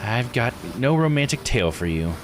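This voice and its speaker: A man's voice: medium pitch, sing-song, normal speed, music in the background, close to an everyday voice. A man voicing a resentful, haughty young woman, a scorned noble-born island diver, reading visual novel dialogue bluntly. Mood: bitter, indignant. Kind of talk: visual novel character read-aloud.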